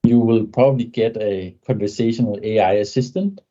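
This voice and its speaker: Podcast guest, man, husky low voice on dull lo-fi audio, thoughtful, tags sentences with "right?". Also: fairly quick, warm tone. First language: Danish. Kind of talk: conversational podcast interview.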